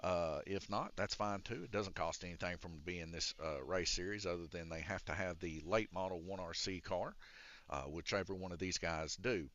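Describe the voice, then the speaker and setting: A low-voiced man with a raspy, chest-congested tone hosts a live-streamed RC race night indoors. His voice is deep yet forceful and bright-edged, and he rambles through the rules with frequent fillers. The sound is dull and lo-fi, like a hobby live stream. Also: moderate pace. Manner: rambling and explanatory, with many "uh" fillers. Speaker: race announcer and organizer. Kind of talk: casual live-stream commentary. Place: indoor RC raceway shop. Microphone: wired or wireless handheld mic.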